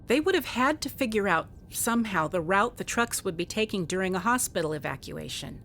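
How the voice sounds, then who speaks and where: A woman's voice: mid-range voice, expressive, brisk, fairly bright tone, a little hiss or hum. A woman playing a wry, grieving police investigator in a contemporary radio drama. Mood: brisk, thoughtful.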